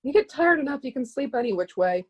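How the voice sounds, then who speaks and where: A woman's voice: high-pitched, sing-song, fast, breathy edge, exaggerated voice. An actress playing a blunt, world-weary woman in a play reading, with a dry, clipped, colloquial delivery. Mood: matter-of-fact.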